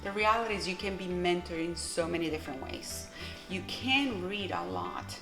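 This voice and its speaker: A middle-aged woman with a Guatemalan Spanish accent speaks in a fairly low voice, earnest and encouraging as she lays out her advice one point at a time. Her words ring in an echoey room, and music plays clearly underneath. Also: sing-song, moderate pace. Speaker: pastor, interview guest. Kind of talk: earnest interview answers.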